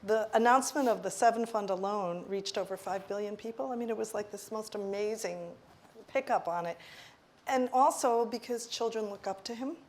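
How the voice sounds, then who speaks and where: A woman's voice: medium pitch, normal speed, a little mellow, slight background noise. A woman on a stage panel speaking with enthusiastic, persuasive conviction. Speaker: panel guest.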